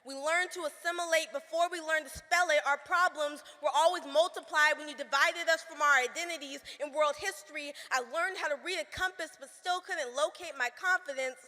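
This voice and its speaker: A teenage young woman performing spoken word poetry with emphatic, deliberate delivery. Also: sits high, sharp tone. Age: teens. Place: live stage performance in a hall. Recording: reverberant hall.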